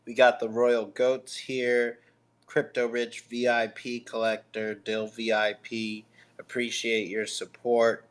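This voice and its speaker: A man hosting a casual live online radio show and podcast. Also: medium pitch.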